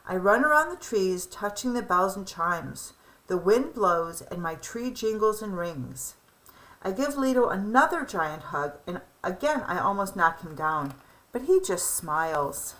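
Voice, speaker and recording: A young woman reading a children's picture book aloud in an expressive storybook style. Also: medium pitch, a little slow, rough recording.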